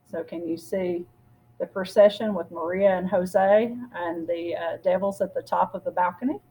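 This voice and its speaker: Woman doing storytime read aloud, kinda husky voice, expressive. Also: fairly low pitch, moderate pace, low-quality recording. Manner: expressive, acts out dialogue. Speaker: story reader.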